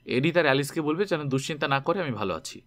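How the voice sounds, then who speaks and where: A man's voice: on the high side, animated, fast talker, fairly bright tone. A man reading the part of a decisive, caring young man who gives firm instructions in a story. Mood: firm, instructing.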